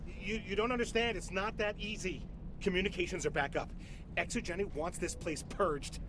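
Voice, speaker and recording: An actor playing a desperate, snappish corporate villain in a video game, agitated and stammering. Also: male voice, high-pitched, normal speed, background noise, close to an everyday voice. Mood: tense, defensive. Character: jittery male corporate boss villain, desperate, snappish, cornered.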